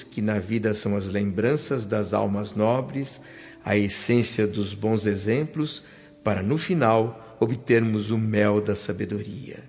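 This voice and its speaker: A man playing a humble, reflective spirit who narrates a radio drama in earnest, measured phrases with a Brazilian accent. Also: mid-range voice, darkish tone, natural voice. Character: reflective, humble male spirit recounting his awakening. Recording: dull audio with music behind.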